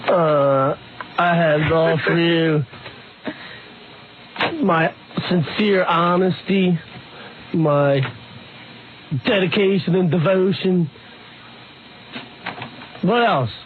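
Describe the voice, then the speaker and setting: A man guest on a radio show who talks in an awkward, halting way. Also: on the high side. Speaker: studio guest. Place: radio studio. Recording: tinny, noisy radio broadcast.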